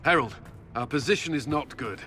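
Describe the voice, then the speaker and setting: An actor playing a grim military commander in a video game, urgent and commanding with clipped delivery. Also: male voice, medium pitch, animated, normal speed, bright, forceful tone, long reverb tail, soft background music. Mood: grave, urgent. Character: grim military commander of a besieged army, man. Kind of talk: dramatic video game acting.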